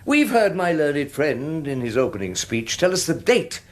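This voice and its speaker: An actor playing a wry, combative older English defence barrister, cross-examining theatrically and sardonically in a courtroom drama. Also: male voice, a little high, very animated, moderate pace. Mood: pressing. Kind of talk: courtroom radio drama acting.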